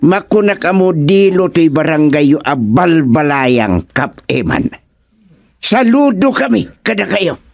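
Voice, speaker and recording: A man with an Ilocano accent playing a genial, chatty village chairman in a radio comedy drama, with a drawn-out delivery. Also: a little high, very animated, vocal fry, exaggerated voice. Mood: genial, praising.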